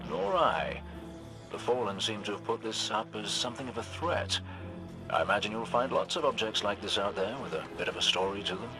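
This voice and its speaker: Man playing a low-voiced, genteel British militia veteran. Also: animated, brisk, over music, lightly acted, low-quality recording. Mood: calm, helpful. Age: middle-aged. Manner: polite, droll, old-fashioned phrasing. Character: genteel older male militia sniper, courteous and wry. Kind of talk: video game character acting.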